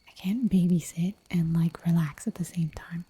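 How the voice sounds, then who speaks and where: A woman whispering softly for an ASMR livestream, chatting in a giggly, rambling way while she draws at home. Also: fairly low pitch, fairly even intonation, normal speed, husky. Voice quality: soft whisper throughout. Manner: giggly, rambling, playful asides.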